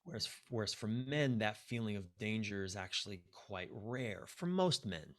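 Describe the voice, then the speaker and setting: A man with a lowish voice talks as a coach being interviewed on a podcast. He explains his ideas in a reflective, halting way and leans on key words by repeating them. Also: animated, a bit bright. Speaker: podcast guest, life and relationship coach.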